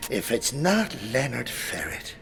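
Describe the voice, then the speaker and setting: Actor as a lively, wry old priest. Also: male voice, medium pitch, unhurried, gravelly, music in the background, heavily acted. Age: older. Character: wry, exclamatory older male priest. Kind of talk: British comedy radio play acting.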